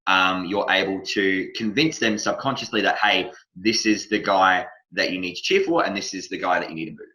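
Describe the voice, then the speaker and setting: An Australian man hosting a casual podcast chat, talking loosely and haltingly, circling back over his phrases. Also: low-pitched, expressive, sharp tone, low-quality recording. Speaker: podcast host, wrestling manager.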